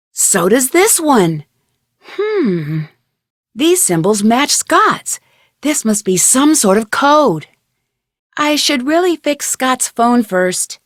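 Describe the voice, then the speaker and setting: Video game lead: inquisitive young American woman sleuth, plucky, conversational, crisp, higher register, dry studio sound. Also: dry recording. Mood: thoughtful, practical. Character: plucky, inquisitive young female amateur detective. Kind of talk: scripted video game voice acting.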